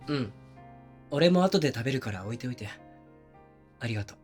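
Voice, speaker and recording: Guy playing young boyfriend in a voice drama, soft casual talk, kinda oblivious, mid pitch, music behind. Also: expressive, fairly quick, close to an everyday voice. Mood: apologetic. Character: young man, considerate to others, obliviously neglectful boyfriend. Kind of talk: intimate situation-voice audio drama, naturalistic one-sided dialogue.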